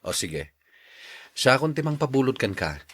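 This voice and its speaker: A man acting a calm, kind-hearted office worker and patient husband in an Ilocano audio drama, easygoing and reassuring. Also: medium pitch, normal speed, breathy edge. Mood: friendly, generous.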